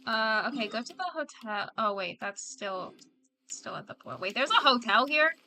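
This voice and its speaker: A woman's voice: fairly high pitch, animated, normal speed, bright, forceful tone. A young woman giving casual, chatty, slangy reaction commentary as she plays a game. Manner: chatty, slangy, reads dialogue choices aloud. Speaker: streamer.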